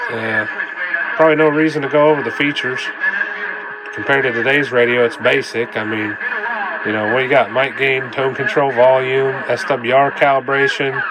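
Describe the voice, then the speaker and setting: A man with a medium-pitched voice and a slight cold gives a casual hands-on demonstration. He rambles along in a plain-spoken, folksy way. Clear noise runs behind his voice. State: coming down with a cold. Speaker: vlogger demonstrating a repaired radio.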